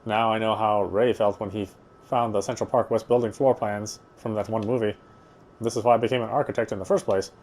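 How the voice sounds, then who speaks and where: A man's voice: lowish voice, fairly even intonation, a little fast, darkish tone. A man narrating a visual novel as he plays it, reading the prose aloud with casual asides.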